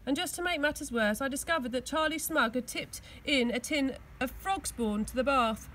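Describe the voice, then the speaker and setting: An English woman reading a children's storybook aloud with expressive narration, recorded outdoors. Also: high voice, normal speed, slight background noise. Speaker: school teaching assistant reading aloud. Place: outdoors on grass.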